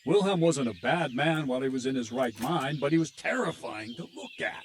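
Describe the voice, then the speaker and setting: An actor playing a gruff, blunt medieval army captain in a video game cutscene, telling a grim tale in a steady, weighty way. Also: male voice, mid-range voice, background noise. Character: gruff middle-aged male army captain. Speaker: military captain.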